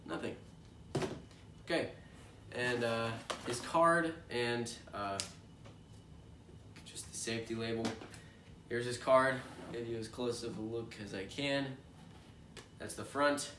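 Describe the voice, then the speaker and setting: A young man with a congested voice, chatting casually as he unboxes a toy, rambling with lots of fillers. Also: medium pitch. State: congested from allergies. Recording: echoey room, distant mic, noisy background.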